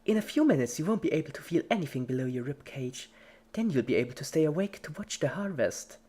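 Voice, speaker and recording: Sadistic female cartoon pony, put on by a story reader: high, chirpy, very animated, playful menace throughout. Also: quick pace, slightly rough. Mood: cheerful menace. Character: giggly, sadistic female party pony, relentlessly cheerful.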